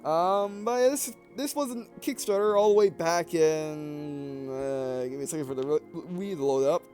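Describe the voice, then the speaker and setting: A man's voice: fairly high pitch, moderate pace, a little mellow, soft background music. A man hosting a gameplay video with casual, rambling, digressive commentary, often trailing off mid-sentence.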